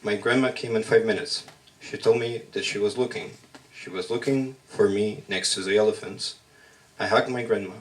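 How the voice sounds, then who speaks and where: A man whose first language is Russian performs a spoken-word poem in measured, halting short phrases at a slam poetry workshop. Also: lowish voice, distant mic, low-quality recording.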